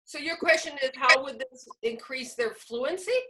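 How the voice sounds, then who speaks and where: A woman's voice: high-pitched, normal speed, pushed and bright. A woman presenting a teacher-training session, explaining informally with examples as she answers questions. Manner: explanatory, illustrates points with examples.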